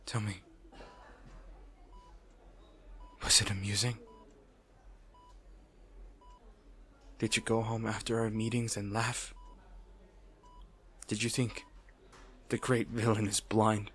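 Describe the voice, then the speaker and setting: A man playing an anguished, possessive villain in an intimate audio roleplay monologue, halting and brooding, his gravelly voice often slipping toward a whisper. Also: fairly low pitch, little pitch movement, fairly bright tone. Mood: wounded, brooding. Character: anguished, possessive male villain at rival hero's bedside.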